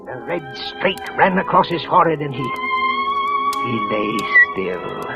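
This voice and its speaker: An actor playing a nervous, trembling office clerk who narrates in a hushed, tense voice, often sinking to a whisper, in a 1940s radio drama. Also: male voice, sits high, huge pitch swings, slow, dull audio, exaggerated voice. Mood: tense, brooding. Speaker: narrator and lead character. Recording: old radio broadcast with music.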